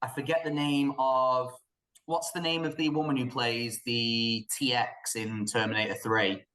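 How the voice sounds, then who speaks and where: A man's voice: medium pitch, flat delivery, unhurried, pushed and bright, reverberant. A man with a British accent co-hosting a film podcast, talking casually and bluntly in an informal, opinionated way.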